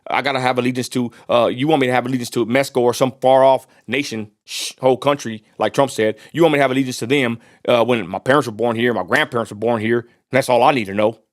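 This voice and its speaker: A man's voice: mid-range voice, steady tone, fast, few pauses. A man from Texas with a West Texas accent, hosting a livestream in a blunt, profane ranting style. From Texas, USA. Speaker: livestream host. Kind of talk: casual ranting livestream commentary.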